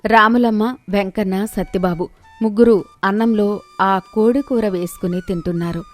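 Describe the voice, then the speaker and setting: A woman storyteller delivers scripted narration for a village moral tale. Her voice sits at a medium pitch, with music playing behind it. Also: normal speed.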